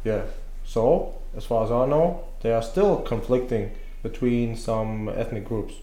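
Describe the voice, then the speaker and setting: A man's voice: medium pitch, unhurried, warm tone. A man hosting a casual chat, asking probing and teasing questions. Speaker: host. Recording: echoey room.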